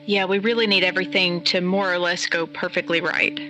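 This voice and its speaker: Blunt skeptic, bright crisp-edged voice. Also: female voice, lowish voice, little pitch movement, brisk, soft background music. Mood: urgent. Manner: blunt, sardonic. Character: pragmatic, skeptical, exasperated woman in a mystery. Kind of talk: naturalistic audio drama dialogue.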